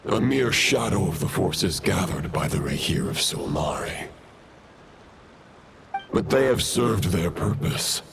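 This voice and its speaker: An ancient wolf-knight game villain, chivalrous yet menacing. The voice is low and heavily gravelled, with slow, archaic, deliberate phrasing. Loud ambient noise surrounds it. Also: male voice, animated, heavily acted. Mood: grave, menacing. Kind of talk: video game voice acting.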